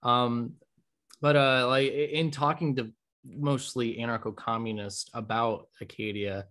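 A man in his twenties hosting an interview casually over a video call, with halting, informal phrasing. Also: mid-range voice, fairly even intonation, a bit bright. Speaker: podcast host. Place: home room, indoors.

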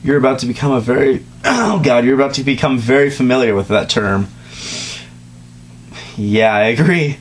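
A man's voice: mid-range voice, expressive, moderate pace, pauses often. Young man reading aloud, noisy recording. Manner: stumbles over words and breaks off to comment. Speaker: reader. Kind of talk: informal dramatic reading of fan fiction with casual asides. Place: small dim room indoors, on a couch. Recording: noisy home recording with hum.

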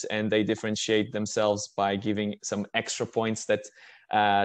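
A man's voice: lowish voice, no room sound. A man in his early twenties from Portugal, with a Portuguese accent, speaking informally as a student guest in a webinar. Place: indoors on a video call. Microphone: video call microphone.

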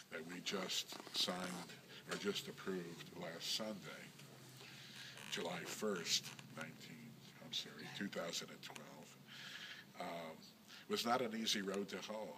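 Older man, synagogue president type; deep gravelly voice, measured and halting, faint hiss in the background. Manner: measured, halting, with many ums and self-corrections. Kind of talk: recorded interview reminiscence.

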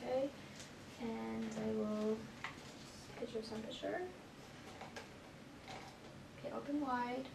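Young woman, nurse role, high creaky voice, polite procedural delivery, noisy room recording. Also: steady tone, takes it slowly, long pauses. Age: young adult. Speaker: nurse in a clinical skills demonstration. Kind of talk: scripted clinical role-play, explaining steps to patient. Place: small clinic exam room, indoors. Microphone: far camera microphone. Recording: echoey room, distant mic, noisy background.

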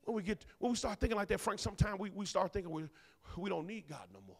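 Man in his forties, pulpit preacher on a handheld mic, fairly high voice, booming then hushed, ribbing the pews, long church-hall echo. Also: fast talker. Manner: animated preaching, bursts loud then drops soft, teasing the congregation. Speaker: minister. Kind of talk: sermon. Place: large church sanctuary.

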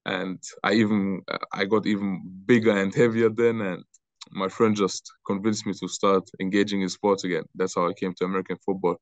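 A young man from Austria, answering interview questions in loose, halting talk on a video call. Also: low-pitched, flat delivery, no room sound. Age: young adult. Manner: loose, halting, repeats words. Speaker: interview guest, football player. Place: bright room indoors. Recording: dull lo-fi video call audio.

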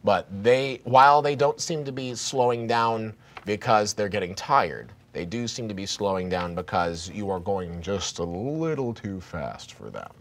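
A man, narrating a tabletop horror game as game master in a halting, dramatic, descriptive way. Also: on the low side, lively intonation. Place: indoor studio set.

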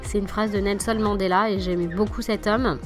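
A woman's voice: medium pitch, steady tone, brisk. A woman in her thirties, a mother vlogging from home, narrating in a chatty, self-deprecating voice-over full of hesitations. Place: home living room. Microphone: voice-over at desk. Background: background music.

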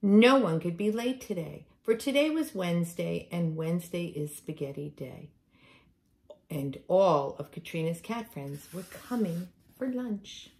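Slow, animated woman reading a picture book. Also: on the low side. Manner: deliberate storytelling for young children. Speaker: teacher reading aloud to children. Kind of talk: expressive picture-book read-aloud. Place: room at home, indoors.

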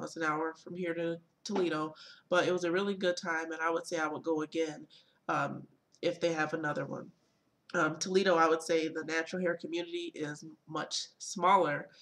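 Soft-spoken woman chatting to her webcam. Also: low-pitched. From Michigan. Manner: relaxed, chatty, many ums and uhs. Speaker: vlogger. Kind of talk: casual product haul and event recap. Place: small home room, indoors. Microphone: webcam at desk.

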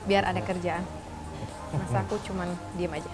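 A woman's voice: lowish voice, fairly even intonation, a little fast. Young Indonesian woman hosting over music. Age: young adult. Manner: mixes English words into speech. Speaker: cooking show host. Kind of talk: TV cooking show presenting, chatty questions. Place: TV studio kitchen set, indoors. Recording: background music, sizzling pan. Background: music and frying sounds.

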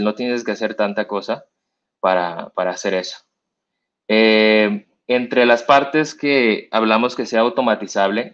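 A young man with a Mexican accent giving a live coding demo at a meetup, explaining step by step with many fillers and restarts. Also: on the low side. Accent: Mexican Spanish. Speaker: meetup speaker. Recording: dull lo-fi stream audio.

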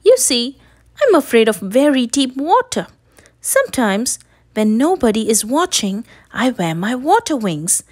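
A woman's voice: a little high, sing-song, unhurried, a little mellow, no echo, clean studio sound. A woman voicing a timid storybook duck with a confiding, helpful manner. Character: duck secretly afraid of deep water.

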